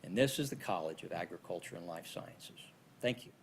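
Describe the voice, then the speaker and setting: A man giving a scripted presentation about his college's projects at a university event, in a steady, practiced delivery. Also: sits low, fairly quick, heavy background noise. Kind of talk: prepared presentation.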